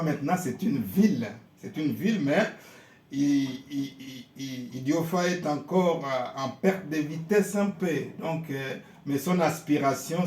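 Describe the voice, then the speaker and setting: A man from Congo, a pastor answering interview questions in a slow, halting way and often repeating his words. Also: a little high, darkish tone. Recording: roomy echo.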